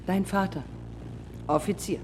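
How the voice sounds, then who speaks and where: A woman's voice: mid-range voice, unhurried, noisy background, close to an everyday voice. An actress playing an intense, challenging woman aviator and sculptor in a radio drama, with terse questions and poetic phrases.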